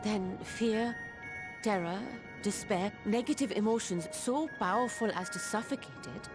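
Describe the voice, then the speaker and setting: A woman's voice: mid-range voice, a little slow, natural voice. An actress voicing an earnest, caring woman scholar in a video game, speaking in formal, precise language. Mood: grave. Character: earnest, caring woman scholar theorizing about mysterious energy. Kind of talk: scripted video game voice acting. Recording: background music under the voice.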